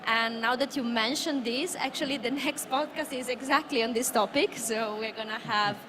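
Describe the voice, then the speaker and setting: A woman's voice: fairly high pitch, moderate pace, a crowd in the background. A woman chatting casually and playfully as a panelist on a live stage. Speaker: podcast team member, panelist. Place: live stream stage at a busy event. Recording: noisy event floor ambience.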